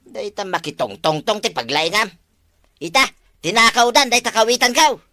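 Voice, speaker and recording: Village comedy drama: nosy, fretful neighbor female actor, bright forceful tone, mid pitch. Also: very animated, brisk, dry recording, clean studio sound. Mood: gossipy, grumbling.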